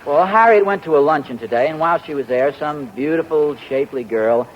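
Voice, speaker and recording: Guy playing a fussy vain husband, high voice all over the place, old hissy radio sound. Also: brisk, lightly acted. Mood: aggrieved. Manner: indignant, wheedling, self-flattering. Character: vain, fussy, easily flustered middle-aged husband. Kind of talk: 1940s radio sitcom acting. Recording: dull, faintly hissy old radio broadcast.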